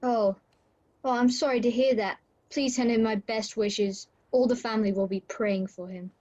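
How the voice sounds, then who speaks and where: A woman's voice: a little high, steady tone, normal speed, a little mellow, close to an everyday voice. A woman playing a kindly, polite young nephew in a radio play. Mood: concerned. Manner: polite, friendly. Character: cheerful, kindly young nephew of the old miser. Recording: dull, lo-fi video call.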